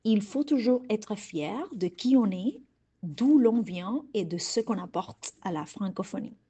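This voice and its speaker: A woman French teacher from Cuba with a Cuban accent, Spanish her first language, speaking in a calm, deliberate and earnest way. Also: mid-range voice, breathy edge. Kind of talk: prepared personal message.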